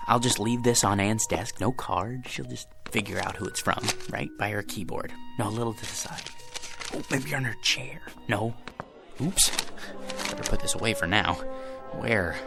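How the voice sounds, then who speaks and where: An actor playing a timid office clerk who talks hesitantly, as if mumbling to himself. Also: male voice, fairly low pitch, normal speed, creaky, over loud music. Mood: fretful. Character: timid, meek office clerk, young man.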